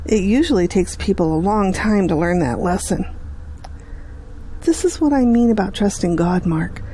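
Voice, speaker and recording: Actress as a soft-toned, devout mother. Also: mid-range voice, animated, moderate pace, a little hiss or hum, lightly acted. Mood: earnest, tender. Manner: earnest, pious, instructive. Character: devout, gently earnest mother counselling her son.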